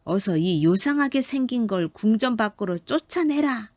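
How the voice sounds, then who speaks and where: Woman putting on a stern, loud, indignant king. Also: a little high, lively intonation, moderate pace, no room sound, studio quality, pitched above the performer's own voice. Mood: angry. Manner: commanding, emphatic. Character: stern, indignant old king disowning his daughter. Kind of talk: storybook character voice.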